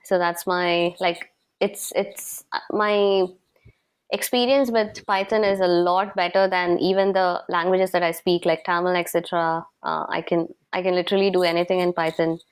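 A woman's voice: lowish voice, level tone, normal speed, clear, resonant tone. A young woman from South India, an interview guest, explaining things earnestly in a casual chat with plenty of fillers.

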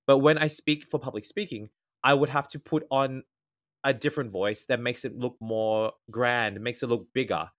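An Australian man whose voice has a slight nasal edge. He explains his advice patiently in a relaxed interview, setting out each point in turn. His pitch sits right in the middle for a man. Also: moderate pace. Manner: earnest, explanatory, lays out tips in ordered points. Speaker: guest speaker, former event MC. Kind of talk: casual podcast interview.

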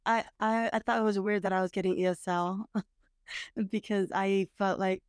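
A woman talking casually with her brother as a podcast guest, reflective and hesitant, with frequent pauses and restarts. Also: mid-range voice, fairly even intonation, smooth, no room sound, clean studio sound. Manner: hesitant, reflective, many fillers and restarts, occasional laughs. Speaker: guest, host's sister. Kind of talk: casual conversation. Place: parents' house.

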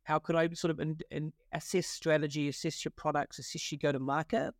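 A man in his forties with a New Zealand accent, answering interview questions in a halting way, with many fillers and restarts. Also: fairly high pitch, flat delivery, dry recording, clean studio sound. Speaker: guest, partnership consultant. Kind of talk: podcast interview.

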